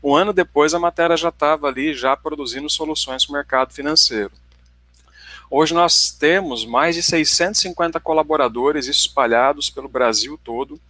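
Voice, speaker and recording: A man in his forties with a Paraná Brazilian accent presents to an online audience in a slightly high, bright, forward voice. His delivery is chatty and conversational, and he keeps checking in with listeners. The sound is close and dry, with almost no room. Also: normal speed, dry recording. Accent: Paraná Brazilian Portuguese. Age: 40s. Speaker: company presenter. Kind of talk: online tech webinar presentation.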